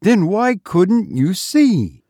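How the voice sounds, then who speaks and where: A man voicing a peremptory, indignant mistress of the house in a storybook reading, with a sharp, impatient, demanding manner. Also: fairly high pitch, big pitch swings, slow, no echo, studio quality, pitched above the performer's own voice, full character voice.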